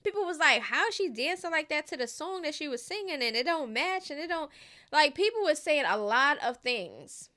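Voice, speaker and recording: A woman's voice: high-pitched, big pitch swings, normal speed, pushed and bright. A woman in her thirties hosting casual gossip commentary, chatty and slangy, often checking in with her viewers. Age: 30s.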